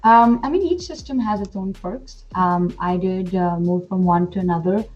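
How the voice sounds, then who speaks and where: A woman in her twenties, from India, chats eagerly as a guest, circling back and restarting her sentences. Her voice sits somewhat low. The sound is dull and lo-fi, like a video call with faint music underneath. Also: moderate pace, darkish tone. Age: 20s. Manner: chatty, enthusiastic, with fillers and restarts. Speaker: pageant titleholder guest. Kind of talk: casual interview.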